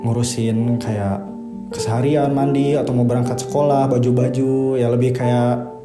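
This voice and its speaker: A man's voice: medium pitch, normal speed. Young man chatting loosely in echoey room. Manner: relaxed, hesitant, trailing off. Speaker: interviewee. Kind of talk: casual chat. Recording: echoey room with music behind.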